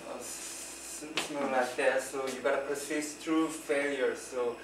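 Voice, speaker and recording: Slow, hesitant man with a Korean accent. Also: mid-range voice, steady tone. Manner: hesitant, nervous speaker. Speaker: student presenter. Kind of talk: classroom vocabulary presentation. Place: classroom. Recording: roomy, distant, noisy classroom.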